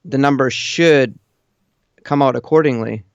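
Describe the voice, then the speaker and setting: A man's voice: mid-range voice, a little slow, gravelly, clear, resonant tone, no echo. A man calling in over an online voice call, chatting casually with blunt, matter-of-fact opinions. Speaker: caller living in Japan. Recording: muffled lo-fi internet call.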